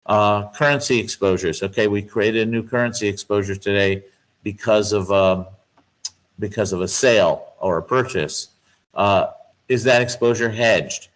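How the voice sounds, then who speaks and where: A man giving measured, explanatory answers as a guest expert in a business interview, laying out points step by step with emphasis. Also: fairly low pitch, low-quality recording. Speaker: guest speaker, finance expert.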